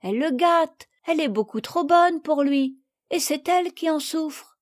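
A woman reading an audiobook, voicing a sensible, earnest young girl in a high childlike voice. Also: expressive, a little slow.

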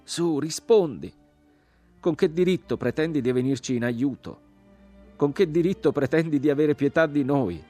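A gaunt crucified man as a male narrator plays him, full of bitter scorn and accusation. His voice climbs well above the reader's deep register and rings out in declamatory, mocking questions, with music underneath, as in a dark literary story. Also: normal speed, natural voice. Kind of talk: dramatized literary audiobook.

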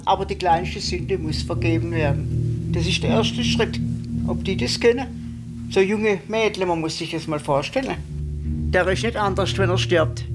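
An older woman talking informally in an interview, recollecting in a plain, colloquial way. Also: fairly low pitch. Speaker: interviewed witness.

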